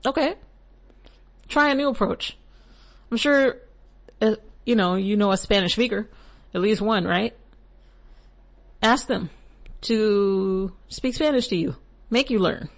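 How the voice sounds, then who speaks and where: A young woman hosts her own podcast and talks through spiritual goal-setting advice in a loose, chatty way. She wanders into side comments and laughs at herself, and her pitch sits in a middle range for a woman. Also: slow, pauses often. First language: English. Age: young adult. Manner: chatty and rambling, with frequent asides and laughs. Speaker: podcast host. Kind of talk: casual spiritual advice monologue.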